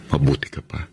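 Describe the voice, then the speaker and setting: Slow-speaking, remorseful former lover, male. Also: fairly bright tone. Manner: halting, regretful, hesitant. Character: remorseful man pleading for his ex-lover back. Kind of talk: Filipino radio drama acting. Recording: music behind the voice.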